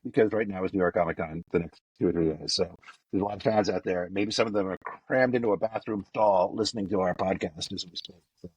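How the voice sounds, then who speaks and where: A man co-hosting a podcast, joking in a deadpan, mock-serious way. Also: low-pitched, fast talker, husky, no echo, lo-fi sound. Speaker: podcast co-host. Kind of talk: casual podcast chat.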